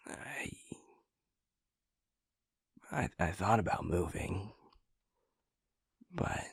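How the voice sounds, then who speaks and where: A man playing a husky, deep-voiced, earnest young man. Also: no echo, clean studio sound, exaggerated voice. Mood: wistful, hesitant. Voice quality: husky, breathy, low murmur. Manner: halting, hesitant, stammering. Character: earnest, vulnerable young man, loyal pack second-in-command. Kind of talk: intimate audio roleplay drama.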